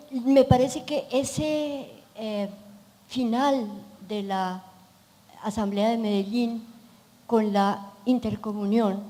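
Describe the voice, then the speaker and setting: Slow, mellow-voiced woman in an echoey hall. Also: medium pitch. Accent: Colombian. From Colombia. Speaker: audience member asking a question.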